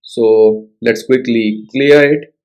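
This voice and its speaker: A man's voice: medium pitch, fairly even intonation, takes it slowly, a little mellow, low-quality recording. A young man with an Indian English accent walking through a coding tutorial, talking casually and checking in with frequent tag questions. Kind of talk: screen-share coding tutorial.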